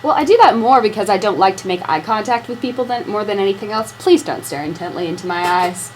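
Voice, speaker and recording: A young woman guest in casual chat, dry and teasing, speaking quietly away from the microphone. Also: medium pitch, quick pace, a little hiss or hum, low-quality recording. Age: young adult. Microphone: sitting off the mic.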